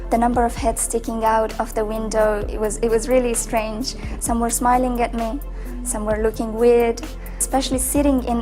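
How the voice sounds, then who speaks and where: The voice of a young Afghan-accented woman in a short migrant-story film, reflective and fairly high, sharing personal testimony over a musical score. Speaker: university student telling their own story.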